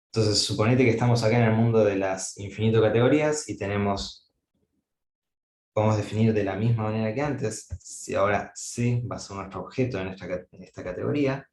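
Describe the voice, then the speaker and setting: A man gives a university-style lecture, working through ideas aloud and pausing on fillers as he goes. His voice sits a little low. The recording carries a noticeable room echo. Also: a little mellow, slight background noise. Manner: thinking aloud, frequent "eh" fillers and restarts. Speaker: mathematics lecturer. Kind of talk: academic lecture at a whiteboard.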